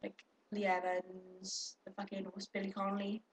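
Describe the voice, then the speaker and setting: A woman's voice: mid-range voice, monotone, normal speed, slight background noise, rough recording. A young woman chatting casually to her webcam, swearing freely and talking to viewers. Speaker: vlogger.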